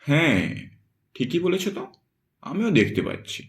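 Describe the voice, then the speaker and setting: Man acting earnest young investigator, lively pitch. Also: normal speed. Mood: polite. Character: serious young male investigator, polite and philosophical. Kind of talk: Bengali horror cartoon voice acting.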